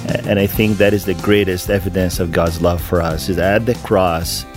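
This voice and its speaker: A man, an associate pastor speaking as a guest, talking slowly and earnestly with pauses. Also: low voice, fairly even intonation, music in the background. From Brazil. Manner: slow, searching, with pauses and fillers. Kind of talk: earnest conversational testimony.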